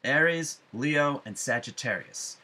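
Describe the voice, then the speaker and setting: Bright, forceful man, emphatic. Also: mid-range voice, lively intonation. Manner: deliberate, emphatic phrasing with frequent pauses. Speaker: astrology forecaster and psychic medium. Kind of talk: spiritual forecast vlog, addressed to viewers. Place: small room at home, indoors.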